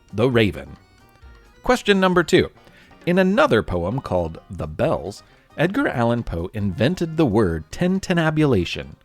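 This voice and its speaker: An American man hosts a history podcast in a fairly low, very animated voice. He reads scripted narration like a storyteller addressing young listeners, with faint music underneath. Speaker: podcast host.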